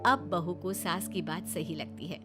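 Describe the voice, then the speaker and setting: A woman reading scripted narration for a cartoon story, with music clearly behind her. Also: on the low side, measured pace.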